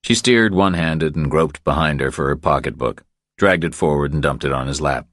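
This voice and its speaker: A man's voice: rumbling low, a little fast, fairly bright tone, no echo, studio quality. A man reading scripted narration from a thriller novel as an audiobook narrator.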